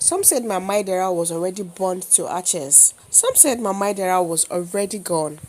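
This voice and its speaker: A woman with a Nigerian accent narrates a folktale as a storyteller, in a theatrical, solemn manner with deliberate phrasing. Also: medium pitch, breathy edge.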